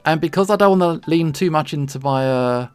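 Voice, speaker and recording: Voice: medium pitch, fairly quick, darkish tone, faint music behind. A streamer with a southeast English accent, chatting casually and playfully while drawing, pronouncing words with care. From southern England. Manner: clearly enunciated, pronounces T's; playful, chuckles often.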